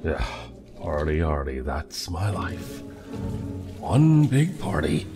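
An actor playing a terse, weary federal agent in a science fiction audio drama. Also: male voice, very deep voice, big pitch swings, slow, music in the background, heavily acted. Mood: weary, sardonic.

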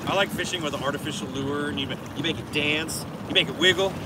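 A middle-aged man talks quickly with a fairly high voice, rambling and joking through one story after another. He sits a few feet from a phone camera in an open boat on a lake. Loud wind and water noise fill the gaps. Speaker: guest. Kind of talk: casual chat. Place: small boat on a lake, outdoors. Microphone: phone camera a few feet away.

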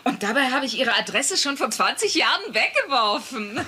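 A woman's voice: a little high, big pitch swings, moderate pace, sharp tone, slightly rough, heavily acted. An actress playing a bossy, volatile working-class wife and mother in a sitcom dub, with a nagging, sarcastic manner. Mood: sheepish. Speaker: voice actor in a German sitcom dub.

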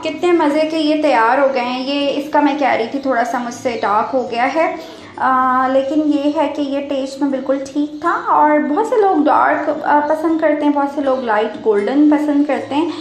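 A woman's voice: sits high, roomy sound. A woman, chatting casually as she explains cooking steps to viewers in a home kitchen vlog.

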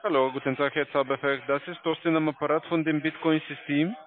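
A man with a foreign accent, a non-native speaker giving a halting, scripted sales pitch. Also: fairly even intonation. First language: not German. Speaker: call-centre salesman. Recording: dry, tinny phone line.

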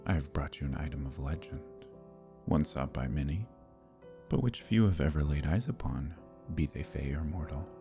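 A man acts out a proud fae prince with an extremely low, gravelly voice. He speaks in archaic, courtly phrases, husky and intimate, teasing his beloved in an ASMR fantasy roleplay over faint music. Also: heavily acted. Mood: proud, devoted. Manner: courtly, measured, dropping into whispers.

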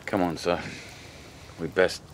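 An actor playing an earnest, long-suffering police sergeant, polite and dry, in a radio drama. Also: male voice, deep voice, little pitch movement, slow pace, slight background noise. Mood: probing.

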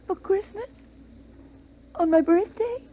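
An actress playing a fragile, fearful, unhinged mother in 1950s radio melodrama, plaintive, dramatic and drawn-out. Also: very high-pitched, a little hiss or hum. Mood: wailing grief. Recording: tinny band-limited 1950s radio recording.

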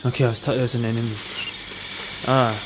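A man's voice: medium pitch, level tone, quick pace, heavy background noise. A man doing casual gameplay commentary, muttering his reactions and reading game text aloud. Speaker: gaming video host playing an action RPG. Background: game music and effects.

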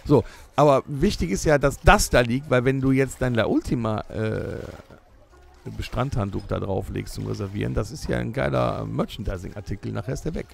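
A man's voice: medium pitch, fairly quick, vocal fry, slightly rough. A man hosting a podcast, chatting pushily in a scripted comedy audio play.

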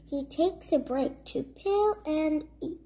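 A young child reads a rhyming storybook aloud in a high voice. The pace is slow, with careful word-by-word phrasing, like a host at story time for little ones. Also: dark tone, slight background noise. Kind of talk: read-aloud of a children's rhyming picture book.

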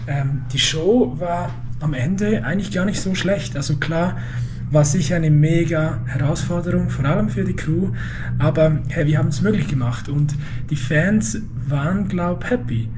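A young man with a head cold talks into his phone at arm's length in a hotel hallway. His voice is fairly high, relaxed and a bit hesitant with fillers. The recording carries a clear bed of hallway noise. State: has a cold. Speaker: drummer and vlogger. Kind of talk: casual selfie vlog update. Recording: noisy hallway ambience.

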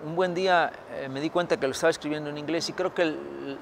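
Middle-aged man from Mexico, slightly high voice, reflective interview answers with hesitations, faint traffic outdoors. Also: fairly even intonation, fast talker, creaky. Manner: thoughtful, many fillers and restarts. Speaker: historian author. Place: outdoors by a building.

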